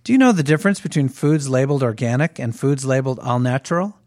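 A man's voice: mid-range voice, animated, fast talker, darkish tone, no room sound. Male sponsor read; plain delivery, dry close-mic sound. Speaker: sponsor announcer.

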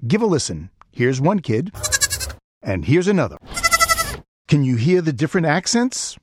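Lively New York radio host. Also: male voice, a little high, moderate pace, background noise. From Brooklyn, New York. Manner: curious, playful questioning with a widely swinging voice. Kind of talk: public radio interview. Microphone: studio microphone.

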